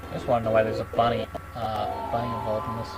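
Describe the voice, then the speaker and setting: A woman chatting casually as she streams a game. Her voice is on the low side, and game music plays clearly behind her. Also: monotone, quick pace, rough recording. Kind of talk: casual playthrough commentary.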